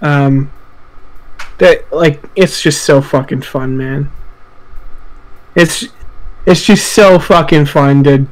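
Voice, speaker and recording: Male podcast co-host, slightly high voice, informal and foul-mouthed game chat. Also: slow, pauses often, low-quality recording. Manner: sweary, informal. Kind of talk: casual podcast chat about video games. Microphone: voice chat call.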